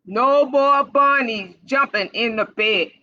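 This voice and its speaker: A woman hosting storytime for young children, reading aloud in an animated, sing-song way and putting questions straight to the kids. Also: high-pitched, slow. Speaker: library storytime host for children. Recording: dull, lo-fi livestream sound.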